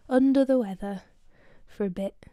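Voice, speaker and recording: A woman talks softly between songs from a small, cosy corner of her home, her voice a little nasal and stuffy from a blocked nose. She keeps it casual and often drops into quiet, whispered asides. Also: a little high, slow, dry recording, clean studio sound. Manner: soft-spoken, drifting into quiet whispered asides. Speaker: singer-songwriter performing a livestream concert. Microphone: home livestream setup.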